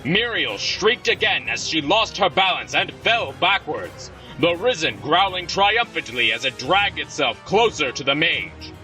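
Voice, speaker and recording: A man narrates a fantasy story in a dramatic, scripted style. His bright voice moves widely in pitch and sits a little distant from the microphone, over faint music. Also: big pitch swings, unhurried, hardly any pauses.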